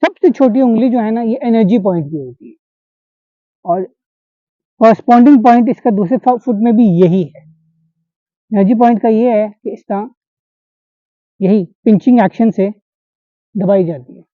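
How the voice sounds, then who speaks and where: A man's voice: sits high, moderate pace, pauses often, no room sound. Man, instructor, hands-on demo, checks in with listeners, dry, muffled recording. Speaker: acupressure instructor. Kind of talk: hands-on demonstration class. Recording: dull lo-fi sound.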